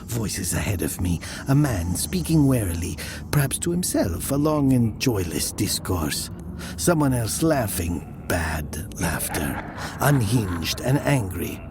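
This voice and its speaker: Male story narrator, low creaky voice, slow hushed delivery, expressive intonation, ambient noise behind. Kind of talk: dramatic first-person horror narration.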